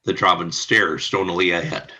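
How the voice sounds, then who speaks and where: Male narrator for radio drama, lowish and creaky, husky edge, scripted scene description. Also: fairly even intonation, moderate pace, sharp tone.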